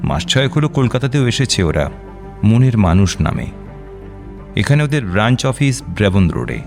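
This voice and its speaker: Doctor in radio drama, lowish male voice, deliberate, reassuring, explanatory, measured pauses, light background music. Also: big pitch swings, darkish tone. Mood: informative, measured. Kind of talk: Bengali radio drama acting.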